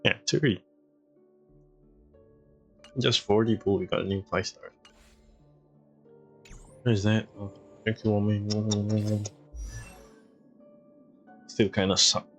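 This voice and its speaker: A man's voice: fairly low pitch, steady tone, slow, pauses often, faint music behind. A man giving casual game stream commentary, muttering to himself in short fragments.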